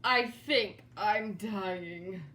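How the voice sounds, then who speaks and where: A man's voice: high voice, extremely slow, sharp tone, slight background noise, pitched below the performer's own voice, exaggerated voice. A woman playing a dramatic, suffering old man in a storybook, given to exaggerated groans and shrieks. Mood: pained. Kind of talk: storybook read-aloud character voice.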